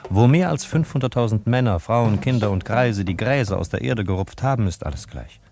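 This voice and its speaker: An actor playing a wry, chatty narrator who addresses the listeners in a 1950s-style German radio drama. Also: male voice, fairly low pitch, lively intonation, a little fast, creaky, over music. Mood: sardonic, musing.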